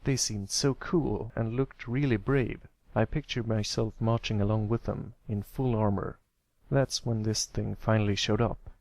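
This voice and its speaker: A man voicing a kindly, encouraging older brother pony with a relaxed, reassuring way of talking, in a read-aloud story. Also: lowish voice, a little slow, gravelly, no echo. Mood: reminiscing.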